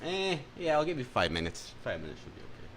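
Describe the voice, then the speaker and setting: Casting: streamer guy, mid-pitched, snarky, swears a lot, rambles while reacting. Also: wildly swooping pitch, quick pace, fairly bright tone, slight background noise. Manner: sarcastic, crude, rambling. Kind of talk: mocking reaction commentary.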